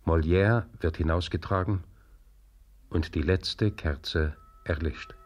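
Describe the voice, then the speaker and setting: An actor as a sober, grave narrator reading scripted radio drama narration. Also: male voice, deep voice, slow pace, dull audio.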